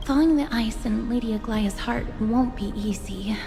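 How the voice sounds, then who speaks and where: Polite game heroine, creaky edge. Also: female voice, fairly high pitch, normal speed, over music. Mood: reassuring. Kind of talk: video game voice acting.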